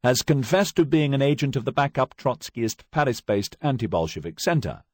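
A man voicing a stern Soviet state prosecutor who reads charges in a loud, formal, accusing way. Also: medium pitch, animated, moderate pace, no room sound, studio quality, voice pushed up, lightly acted.

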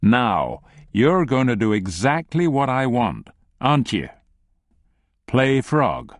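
A man voicing a calm, cruel male thug who speaks in a soft, unhurried, mocking way. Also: medium pitch, dry recording, studio quality. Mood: quiet menace.

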